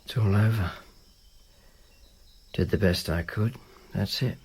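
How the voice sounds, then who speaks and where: A wry tired roman informer, husky voice, dry sarcastic radio drama narrator. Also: male voice, fairly low pitch, takes it slowly, darkish tone, heavily acted. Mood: sardonic. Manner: dry, sardonic understatement. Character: wry weary male informer in Rome, sardonic.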